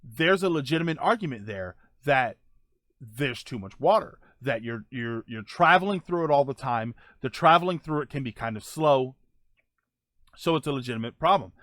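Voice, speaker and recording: A man's voice: medium pitch, animated, fairly bright tone, dry recording, studio quality. A man hosting a gaming livestream, talking casually and answering viewer comments, often rephrasing his points as he goes. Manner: halting, circles back and rephrases points.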